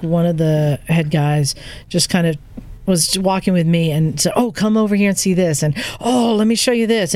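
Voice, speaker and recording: A woman's voice: on the low side, a little mellow. Enthusiastic woman telling a casual story. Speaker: podcast host recounting a trip.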